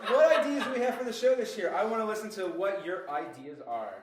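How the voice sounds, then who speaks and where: A man's voice: high-pitched, expressive, quick pace, distant mic, background noise. A man hosting a comedy radio variety show with showy, enthusiastic banter. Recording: echoey room, off mic, music behind.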